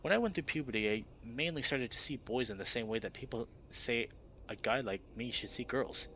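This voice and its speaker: A man's voice: medium pitch, fairly quick, fairly bright tone, muffled recording, slight background noise, close to an everyday voice. A man voicing an uneasy, dry-witted teenage animal character in a visual novel, plainspoken and protective of his friend. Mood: nervous, confessing. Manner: awkward, plainspoken, wry.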